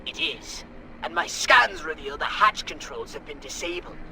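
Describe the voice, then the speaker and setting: A man playing an excitable, scheming, pompous alien commander in a video game, verbose and theatrical, prone to bursting into shouts. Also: fairly high pitch, normal speed, creaky, a little hiss or hum, exaggerated voice, rough recording. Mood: urgent instruction. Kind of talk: animated video game acting.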